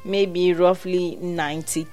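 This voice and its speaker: A young woman with a Nigerian accent speaks in a low, deep register as she chats with a friend, winding through her stories with restarts and quick asides. Soft music plays faintly in the background. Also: fairly even intonation. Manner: chatty storyteller, many restarts and quoted voices. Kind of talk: casual chat.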